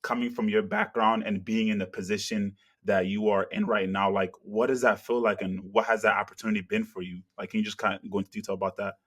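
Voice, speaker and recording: A man's voice: on the low side, level tone, fast, hardly any pauses. A man from Africa hosting an interview, asking questions earnestly with many "you know" and "kinda". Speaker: interviewer, channel host.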